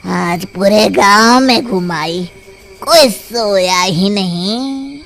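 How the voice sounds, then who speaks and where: A woman voicing a greedy, menacing witch in a dramatic children's story, with cackles and drawn-out threats. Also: medium pitch, gravelly, a bit bright, soft background music, full character voice. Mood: scheming. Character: menacing, greedy female witch who steals hair, turning desperate. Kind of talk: children's moral story voice acting.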